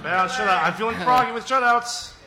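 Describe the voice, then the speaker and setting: A man's voice: extremely high pitch, fairly quick, over a noisy crowd. A young adult man co-hosting a casual podcast, rambling and chatty, often trailing off into mumbles. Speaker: podcast co-host.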